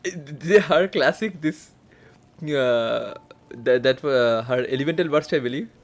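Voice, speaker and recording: A game streamer giving casual, halting live commentary on a playthrough. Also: medium pitch. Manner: halting, murmured reactions. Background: faint game music.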